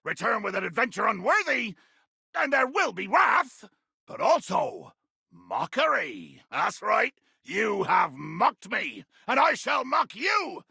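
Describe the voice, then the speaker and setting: An actor playing a grandiose, bloodthirsty pirate berserker in a video game, with a gravelly voice and florid, bombastic old seafaring speech. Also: male voice, high-pitched, big pitch swings, slow pace, dry recording, studio quality, heavily acted. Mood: grandiose, threatening. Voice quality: gravelly, raspy. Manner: bombastic, florid archaic pirate diction, erupting into shouts. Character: ranting, grandiose, bloodthirsty male pirate berserker, comically menacing. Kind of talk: theatrical video game character acting.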